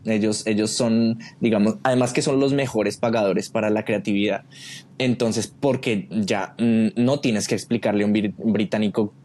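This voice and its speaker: A man with a Colombian accent speaks as an interview guest in a casual, thoughtful way. His voice sits fairly low, and there is faint ambient noise behind him. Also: fairly even intonation, normal speed, darkish tone. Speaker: podcast guest, creative director. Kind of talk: casual reflective interview talk.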